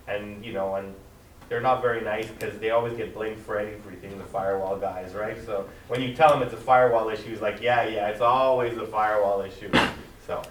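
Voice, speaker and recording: A man's voice: on the low side, fairly even intonation, a little fast, slight background noise. A man giving a technical conference talk, speaking emphatically and insistently. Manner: emphatic, advocating strongly. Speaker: conference presenter. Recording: echoey hall, mic from a distance.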